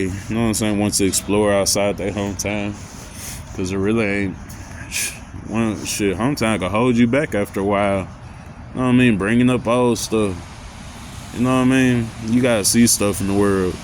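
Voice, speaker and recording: A man with a deep voice talking loosely about anxiety and growing up, with lots of slang and filler phrases. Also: slight background noise. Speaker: vlogger. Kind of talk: casual motivational talk.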